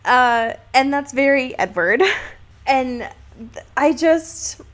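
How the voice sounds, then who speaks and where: A woman co-hosting a podcast, chatting casually about a book and retelling it in an amused, halting way with acted-out quotes. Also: sits high, animated. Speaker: podcast co-host. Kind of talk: casual book discussion chat.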